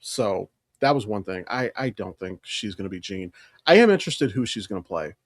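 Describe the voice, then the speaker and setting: An American man co-hosting a podcast in casual chat, rambling and halting as he thinks aloud. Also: lowish voice, lively intonation, no echo, clean studio sound. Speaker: podcast co-host. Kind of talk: casual chat about comics and films.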